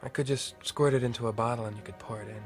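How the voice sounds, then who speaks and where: A man's voice: mid-range voice, steady tone, fast, natural voice. An actor playing a blunt, unsentimental hired man in an audio drama, casual and offhand. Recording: faint music under the voice.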